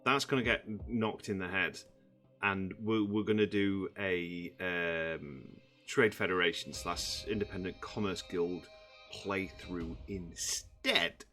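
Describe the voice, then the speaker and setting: A man, croaky from just waking up, rambling through casual gameplay commentary with many fillers. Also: low voice, takes it slowly, sharp tone, soft background music. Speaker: gaming channel host.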